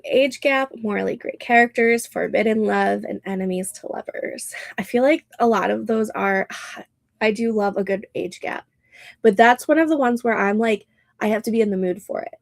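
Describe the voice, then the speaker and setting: A woman's voice: mid-range voice, creaky, no room sound. A woman in her thirties hosting a casual livestream, sounding nasal and congested, chatting and reading comments aloud with frequent laughs. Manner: chatty, laughs often, reads chat aloud. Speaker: livestream host.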